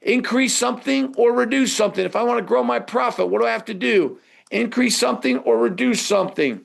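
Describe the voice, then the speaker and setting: A man's voice: very high voice, a little fast, creaky. A man teaching a live webinar in a conversational way, prompting listeners with rhetorical questions. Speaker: webinar instructor.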